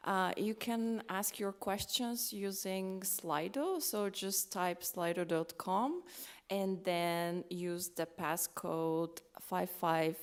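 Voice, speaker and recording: Panel moderator, woman from Bulgaria: low, creaky voice, halting and unhurried, addressing a live audience. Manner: hesitant, halting delivery with many ums.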